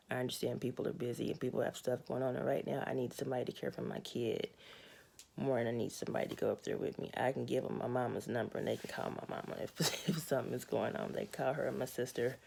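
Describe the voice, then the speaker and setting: Raspy, gravelly woman vlogger. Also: very deep voice, steady tone, quick pace, a little mellow. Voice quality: raspy, hoarse. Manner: candid, matter-of-fact, laughs often. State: hoarse. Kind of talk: casual personal update.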